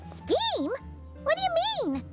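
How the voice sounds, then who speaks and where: A woman voicing a tiny, curious, chirpy fairy companion with a squeaky childlike voice in an anime-style game. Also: wildly swooping pitch, takes it slowly, exaggerated voice. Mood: puzzled, curious. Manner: exclamatory, inquisitive. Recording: music behind the voice. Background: game music.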